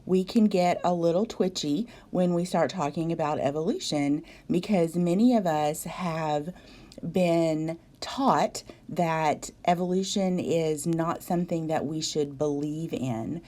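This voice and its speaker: A woman from the South, a biology instructor giving a recorded video lecture in a voice hoarse from a cold, measured and explanatory with frequent ums. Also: deep voice, mellow. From American South.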